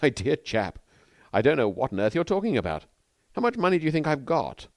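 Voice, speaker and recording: A man's voice: a little high, very animated, brisk, darkish tone, no room sound, clean studio sound, pitched above the performer's own voice. A man voicing an earnest, eager, slightly dim young English lord who speaks in posh old-fashioned slang. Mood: amiable, earnest.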